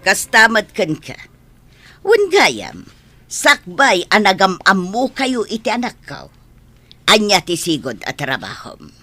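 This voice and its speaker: A woman's voice: medium pitch, wildly swooping pitch, takes it slowly, sharp tone, full character voice. An actress playing a strict, stern mother of a grown son in a radio drama, questioning and commanding. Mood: cold, probing.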